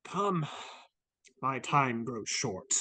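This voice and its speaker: A man's voice: mid-range voice, sing-song, extremely slow, bright, forceful tone, no echo, heavily acted. Casting: male actor for stern Christmas ghost, grave and solemn, medium register, dry recording, dramatic reading. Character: solemn, stern, short-lived Christmas spirit.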